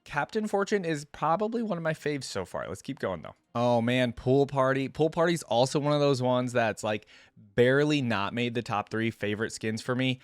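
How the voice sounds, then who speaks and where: A man's voice: mid-range voice, expressive, normal speed, dry recording, studio quality. A man giving casual, informal reaction commentary, enthusiastic and thinking aloud as he goes. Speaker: reaction video host.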